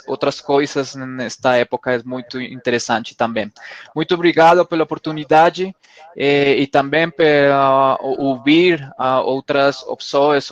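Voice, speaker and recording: Panelist, male, Spanish accent, non-native, halting delivery, muffled call audio. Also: mid-range voice. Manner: halting, searches for words. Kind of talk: closing remarks on a webinar panel.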